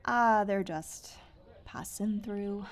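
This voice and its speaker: A woman playing a brisk, wry, guarded young outcast guide in a fantasy audio drama. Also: mid-range voice. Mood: wry banter. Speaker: voice actor. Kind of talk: fantasy audio roleplay acting.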